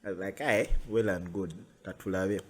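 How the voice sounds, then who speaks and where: Young Ugandan man, podcast host, chatty and animated, casual talk. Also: low voice, normal speed, husky. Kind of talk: casual chat.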